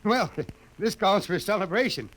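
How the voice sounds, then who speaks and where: Elderly small-town American father, jovial and folksy, animated higher-set voice, faint radio noise. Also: low-quality recording. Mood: jovial, contented. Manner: folksy, chuckling, drawn-out delivery. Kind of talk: old-time radio drama acting.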